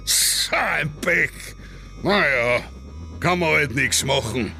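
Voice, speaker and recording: Irascible, forceful Austrian general. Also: male voice, on the high side, animated, slow pace, vocal fry, slight background noise, heavily acted. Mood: resigned. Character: irascible, helpless old Austrian general, pompous man. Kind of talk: satirical radio play acting.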